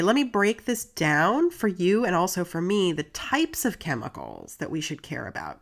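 Woman with a stuffed-up cold voice doing a solo podcast, kinda low, chatty asides. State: congested from a cold. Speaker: podcast host. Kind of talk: solo podcast monologue, reading facts.

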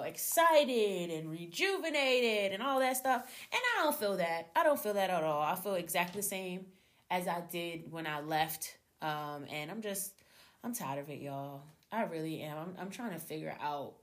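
A young adult woman hosting her own podcast, talking casually and animatedly to her listeners, her voice slightly hoarse from a cold. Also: deep voice. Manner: chatty, animated, addresses listeners as y'all. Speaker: podcast host. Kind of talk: casual solo podcast chat.

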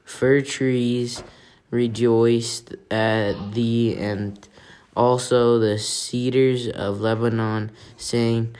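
A woman reading scripture aloud with her family, slow and deliberate, with gentle prompts in between. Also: a bass voice, fairly even intonation, clear, resonant tone.

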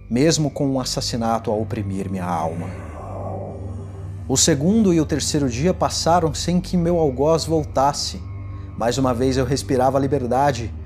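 A man playing an unhinged, gloating condemned murderer who recounts his crime in a deliberate, theatrical gothic horror reading. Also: medium pitch, pitched above the performer's own voice, lightly acted. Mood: relieved, exultant. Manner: theatrical, deliberate, swinging from hushed to exultant.

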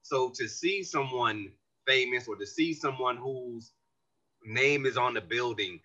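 A man, a community organization leader, explaining his views as a guest in a podcast interview, animated and earnest. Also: medium pitch, measured pace, lo-fi sound.

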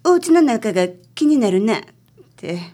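A woman's voice: on the high side, sing-song, moderate pace, warm tone. A woman playing a worried married client in a radio drama, speaking politely, hesitantly and apologetically. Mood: anxious recounting. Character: timid, worried married woman seeking detective's help.

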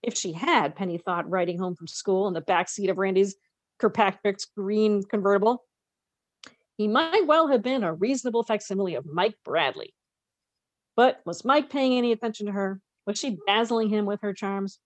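A woman's voice: medium pitch, lively intonation, moderate pace, no echo. A woman co-hosting a podcast, expressively reading a novel aloud with casual, conversational asides.

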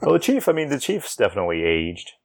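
A man's voice: mid-range voice, huge pitch swings, fast, creaky, husky. A man chatting casually and informally as a podcast host about TV episodes.

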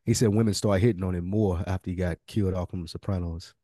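A man's voice: low-pitched, fairly even intonation, fast talker, warm tone. An American man chatting casually as a podcast co-host. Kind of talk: casual chat, fantasy draft banter.

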